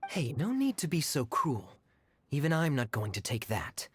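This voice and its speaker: A man playing a suave, theatrical young cavalry captain in a video game, smooth and wry. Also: mid-range voice, huge pitch swings, normal speed, a little hiss or hum. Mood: wry, persuasive. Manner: smooth, wry, mock-wounded.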